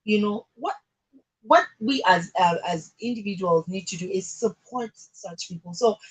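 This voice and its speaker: Husky-voiced Nigerian woman hosting radio. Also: medium pitch, moderate pace, poor audio. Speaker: radio host. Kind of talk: casual talk-show chat. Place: radio studio.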